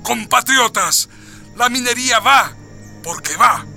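Pompous president speech, high sharp voice, declaiming for a radio play. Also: male voice, slow pace, gravelly, soft background music, exaggerated voice. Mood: pompous resolve. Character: bombastic, demagogic president addressing the nation. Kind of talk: political speech in a radio drama.

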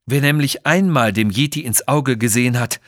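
A man's voice: mid-range voice, moderate pace, no room sound, clean studio sound, lightly acted. A man voicing a cautious, honourable Tibetan Sherpa mountain guide who speaks formally and earnestly in an audio story. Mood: warning.

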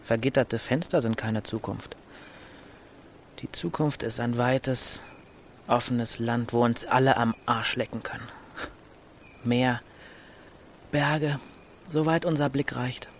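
A man's voice: mid-range voice, takes it slowly, pauses often, a little hiss or hum. An actor playing a restless, defiant and pragmatic teenage boy on the run in a German radio drama. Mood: defiant, visionary.

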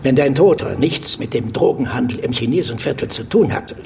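An actor playing a gruff, bossy police major in a radio drama, blunt, stern and commanding. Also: male voice, mid-range voice, animated, fairly quick, noisy background. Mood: stern, confidential.

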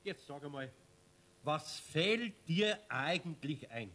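An actor playing a grumpy, no-nonsense middle-aged craftsman in a children's radio play, scolding in a husky voice with a Bavarian accent. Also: on the high side, expressive, slow, a bit bright. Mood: angry scolding. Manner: grumbling, scolding.

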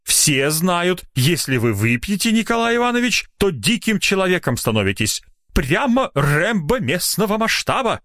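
A man's voice: high-pitched, very animated, normal speed, a bit bright, no echo, clean studio sound, pitched above the performer's own voice. A man voicing an indignant, blustering, officious doctor in a narrated story, easily flustered and outraged. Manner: officious, blustering, self-justifying.

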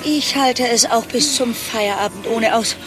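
Theatrical, catty actress as secretary, music behind. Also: female voice, on the high side, a little slow, natural voice, rough recording. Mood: strained composure. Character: catty, self-possessed woman secretary, dryly boastful. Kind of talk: satirical radio play dialogue.

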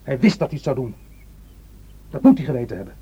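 A man's voice: mid-range voice, very animated, fast talker, mellow, slightly rough. Bitter, insolent young man on muffled radio. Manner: insolent, scornful, curt. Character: bitter, defiant, vengeful young man, a son. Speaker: actor. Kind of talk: Dutch radio play acting. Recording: dull, faintly noisy old radio recording.

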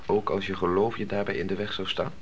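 A male actor as an earnest grown son in a radio play, with a low-pitched voice. He questions and argues cautiously, often halting before he gets to the point. The old recording carries faint noise. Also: flat delivery, lo-fi sound, natural voice. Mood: cautious, determined. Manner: hesitant, halting, argumentative.

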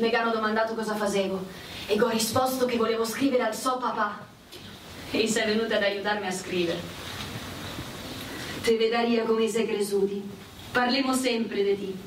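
A woman's voice: fairly high pitch, close to an everyday voice. A woman playing devoted Italian wartime wives, reading love letters aloud in a tender, earnest theatrical manner. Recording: echoey, distant, noisy stage recording.

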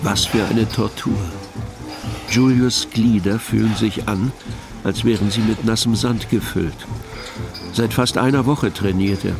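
A man's voice: fairly low pitch, fairly even intonation, a little slow, creaky. A man giving scripted literary narration in an audio production. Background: music.

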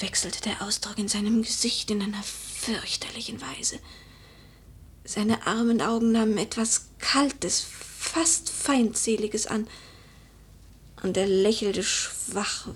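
An actress playing a proud, grieving young widow of noble birth in a literary radio drama, with a breathy, solemn delivery that often drops toward a whisper. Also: female voice, medium pitch, slight background noise, close to an everyday voice. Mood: anguished.

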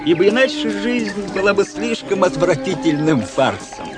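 A man's voice: high voice, lively intonation, normal speed. An actor playing a vain, embittered young military cadet in a radio drama, pompous and affected in his declamation. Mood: bitter, self-pitying. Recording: radio play with prominent music behind. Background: music.

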